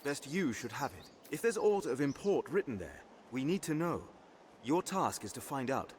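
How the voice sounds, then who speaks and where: An actor playing a courteous, dutiful captain of a hunting order in a fantasy game, speaking formally in courtly, archaic phrases. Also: male voice, medium pitch, sing-song, moderate pace, a little hiss or hum, close to an everyday voice. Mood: businesslike. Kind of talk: scripted fantasy game dialogue.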